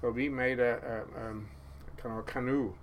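A man in his seventies with a Norwegian accent, telling stories as an interview guest in a halting way, with many restarts. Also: medium pitch, steady tone, slight background noise. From Norway. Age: 70s. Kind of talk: casual storytelling interview.

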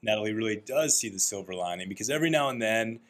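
Man, lowish voice, interview host, relaxed, friendly, teasing. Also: fast, very noisy. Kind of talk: conversational interview.

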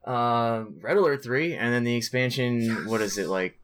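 Man, podcast co-host, casual gaming chat, excitable, slangy and profane, medium pitch. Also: moderate pace, clean tone. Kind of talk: casual chat about video games.

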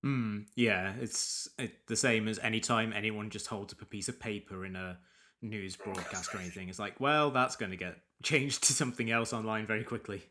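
A man's voice: fairly low pitch, moderate pace, sharp tone. Sniffly man hosting a podcast. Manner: rambling, many restarts. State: sniffly from allergies. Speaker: podcast host. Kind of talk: casual film podcast chat.

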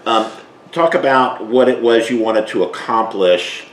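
A man hosting a cooking interview podcast, asking questions in a relaxed, measured way with many ums. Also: medium pitch, echoey room, poor audio. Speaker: podcast host.

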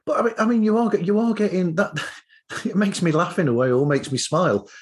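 A man's voice: high-pitched, big pitch swings, fairly quick, darkish tone, breathy edge. A man hosting a podcast, asking casual, rambling questions in a tentative way. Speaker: podcast host, interviewer. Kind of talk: casual interview chat.

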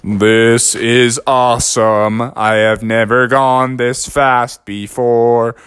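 Slow low turtle, as a man puts him on. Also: flat delivery, fairly bright tone, smooth, no echo. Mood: shy. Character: shy slow male turtle, friendly.

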